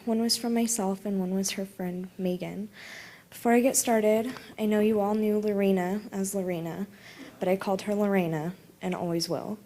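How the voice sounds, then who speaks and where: Lady with an average pitch voice reading a written memorial tribute out loud. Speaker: speaker at a memorial service. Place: memorial service in a room full of people.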